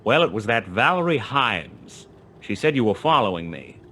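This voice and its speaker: A man's voice: lowish voice, very animated, normal speed, a bit bright, slight background noise. An actor playing a wry, terse private detective who narrates his own case in a noir radio play. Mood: wry, probing. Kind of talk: 1940s noir radio play acting, first-person narration.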